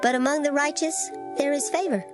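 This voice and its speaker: A woman giving a dramatized reading of scripture in formal old English, measured and solemn. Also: sits high, steady tone, close to an everyday voice. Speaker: scripture reader. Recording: loud music behind the voice. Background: music.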